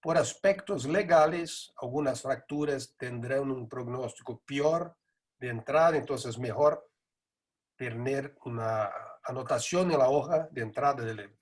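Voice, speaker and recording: Portuguese-accented man lecturing, husky voice. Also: medium pitch. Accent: Portuguese-accented Spanish with a Buenos Aires lilt. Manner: measured, explanatory, with frequent hesitations. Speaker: neurosurgery lecturer. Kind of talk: conference lecture.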